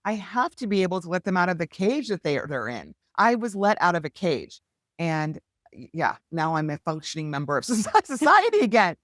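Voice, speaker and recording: A woman speaking as a podcast guest, telling her story in an earnest, heartfelt and animated way. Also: lowish voice, a little fast, no room sound, studio quality. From United States. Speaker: podcast guest, hypnosis healer. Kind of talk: candid conversational storytelling.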